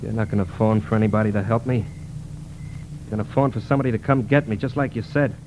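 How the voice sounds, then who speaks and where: An American actor playing a tense, suspicious young killer on the run, curt and guarded, in 1940s radio drama style. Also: male voice, on the low side, fairly quick, noisy background, natural voice. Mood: suspicious, accusing. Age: young adult. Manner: curt, guarded, clipped answers. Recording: old 1940s radio broadcast, music behind.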